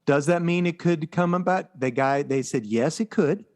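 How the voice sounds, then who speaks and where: A man's voice: on the high side, normal speed, a little mellow. An American man co-hosting a podcast, chatting casually and with enthusiasm about pop culture. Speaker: podcast co-host.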